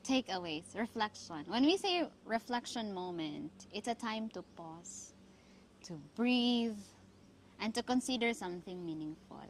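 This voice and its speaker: A woman from the Philippines with a Filipino accent and a Cebuano mother tongue, hosting reflective, motivational talk with emphatic, deliberate phrasing. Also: mid-range voice, lively intonation, slight background noise, low-quality recording. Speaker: podcast host.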